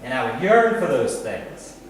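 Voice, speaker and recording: An American man from Missouri giving a storytelling artist talk in a gallery, deliberate, with dramatic repetition and short phrases. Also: medium pitch, big pitch swings. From Missouri, United States. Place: indoor gallery, recorded from a distance. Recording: very echoey gallery hall, distant mic, noisy.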